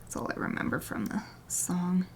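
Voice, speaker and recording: A woman artist chatting casually during a livestream as she paints, rambling and often dropping to a soft murmur, with light laughter. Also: moderate pace. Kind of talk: casual chat while painting.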